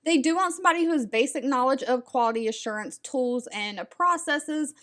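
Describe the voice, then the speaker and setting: Woman, high-pitched voice, online video host, informational narration, upbeat, encouraging, direct address to viewers. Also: expressive, fairly quick, fairly bright tone. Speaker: video host sharing remote job leads. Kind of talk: scripted-sounding informational vlog narration.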